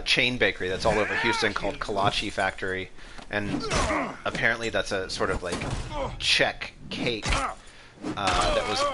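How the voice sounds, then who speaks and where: A man from Texas, an Egyptologist, chatting casually about history while playing a game, rambling with lots of fillers. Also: on the low side. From Texas, USA. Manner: rambling, filler-heavy, thinks aloud. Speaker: Egyptologist streamer. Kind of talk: casual gaming commentary with history asides. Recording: steady background noise.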